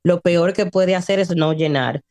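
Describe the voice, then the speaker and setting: A woman in her late thirties on a radio show, explaining taxes and chatting. She hesitates often, fills pauses and drops English words into her speech. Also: on the low side, darkish tone, no room sound. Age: 30s. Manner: hesitant, many fillers, mixes in English words. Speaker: tax service guest on radio. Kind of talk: informational radio chat. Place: radio studio.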